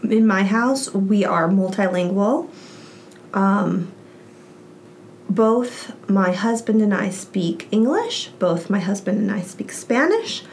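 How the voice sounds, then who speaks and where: Mom on a podcast, talks in quick lively bursts, faint hiss in the background. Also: female voice, mid-range voice. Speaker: guest, parent. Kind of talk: casual interview chat.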